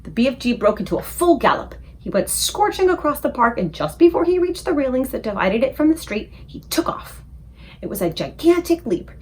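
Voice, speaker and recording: A woman reading a children's book aloud as an expressive storyteller for a book club. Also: high-pitched, fairly quick, husky.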